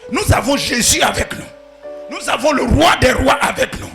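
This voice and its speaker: Pastor guy preaching, high bright voice, shouts then drops soft, faint music behind. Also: animated, moderate pace, gravelly. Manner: preaching with loud shouted peaks and sudden soft asides. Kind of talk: sermon.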